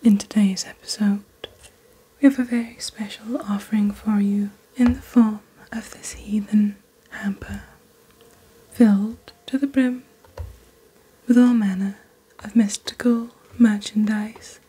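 A young woman playing a calm, mysterious witch who hosts a magical shopping channel in soft, breathy, half-whispered ASMR narration. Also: medium pitch, rough recording. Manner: slow, deliberate, hushed, theatrical presenting. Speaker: host of a fantasy shopping channel. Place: small dim indoor set. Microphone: close fluffy-covered studio microphone.

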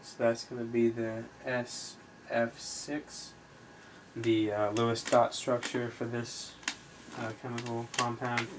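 A man tutors informally in a flat, medium-pitched voice. He moves haltingly from step to step, as if thinking aloud while he writes. The amateur recording has faint background noise. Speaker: chemistry tutor, video host. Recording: noisy amateur recording with room ambience.

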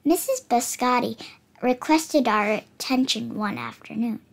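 Young girl, about eight, for picture-book read-aloud; high, slightly creaky voice, careful expressive delivery. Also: a little slow. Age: child, about 8. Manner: careful, expressive reading with small stumbles. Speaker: child reader of picture books.

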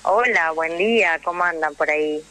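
A woman's voice: fairly low pitch, normal speed, pushed and bright, rough recording. A woman with a Rioplatense Argentine accent, speaking conversationally and explaining at length as a guest in a radio interview. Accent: Rioplatense Argentine Spanish. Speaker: guest, actress and radio drama teacher.

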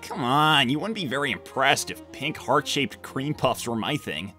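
A woman's voice: rumbling low, animated, normal speed, pushed and bright, soft background music, full character voice. A woman voicing a brash, cocky teenage male hedgehog hero in a fan cartoon, flippant and teasing. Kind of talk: fan-made cartoon voice acting.

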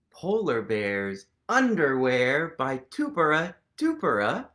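A man in his thirties reading a picture book aloud to young children, expressive and full of questions for his listeners. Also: a little high. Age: 30s. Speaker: host, museum literacy educator reading aloud. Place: indoors, small quiet room at home.

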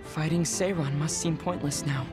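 An actor playing a thoughtful, analytical young male comrade in an anime game, speaking in a measured way. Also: fairly high pitch, fairly even intonation, close to an everyday voice. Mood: measured, serious. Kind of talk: English-dubbed anime game acting. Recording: voice over loud music score.

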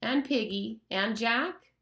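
A woman's voice: a little high, slow pace, pushed and bright, pitched above the performer's own voice, full character voice. A woman reading aloud and voicing a mocking, menacing pig's head that speaks as a beast, in a room with a noticeable echo. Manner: taunting, condescending, menacing. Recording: roomy echo.

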